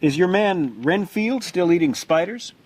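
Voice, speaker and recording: A man playing a rueful, wry gentleman suitor in a gothic audio drama, comradely and resigned. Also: sits high, animated, measured pace.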